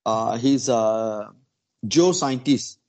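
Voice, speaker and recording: A man recounts a story to a small group. He talks in a halting, conversational way, restarting his phrases and filling the gaps with "you know." His voice sits at an ordinary middle pitch. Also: lively intonation, darkish tone.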